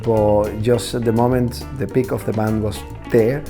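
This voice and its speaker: A man with a low voice and a Venezuelan Spanish accent gives a reflective interview, earnest and pausing now and then to find his words. Music plays clearly underneath him throughout. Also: flat delivery. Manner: thoughtful, earnest, searching for words. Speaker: band leader and musician.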